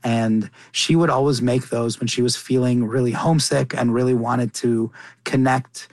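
A man's voice: medium pitch, steady tone, normal speed, no room sound. A man from Qatar chatting casually as an interview guest, earnest and often rephrasing himself as he goes. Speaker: interview guest, chef and cookbook author.

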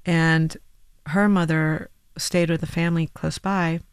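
A woman's voice: low voice, flat delivery, a little slow, clear, resonant tone, dry recording, studio quality. A woman in her thirties, a musician being interviewed, who talks reflectively with many restarts and hedges. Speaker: musician guest. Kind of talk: radio interview.

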